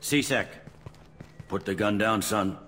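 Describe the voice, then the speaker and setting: An actor playing a seasoned, pragmatic police officer in a video game, matter-of-fact and authoritative, a little world-weary. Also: male voice, lowish voice, slow, fairly bright tone, reverberant, in a large hall.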